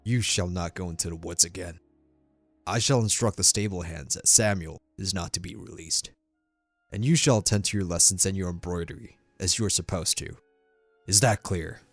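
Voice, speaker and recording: A stern king and father played by a man, very low in voice and firmly authoritative. His speech is formal and dignified, recorded dry over faint music in a fantasy audio drama. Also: moderate pace, no room sound. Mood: stern, commanding. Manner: firm, quiet, formal, authoritative.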